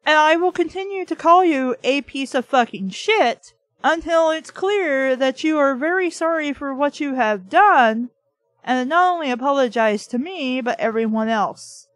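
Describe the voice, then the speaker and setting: A woman with a fairly high voice reads fiction aloud in a dry, close recording. She slips between narration and her own off-the-cuff commentary. Her manner is blunt, opinionated and mocking, with plenty of swearing. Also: expressive, measured pace, no echo. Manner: opinionated, argues back at the text. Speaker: host reading and critiquing a book aloud.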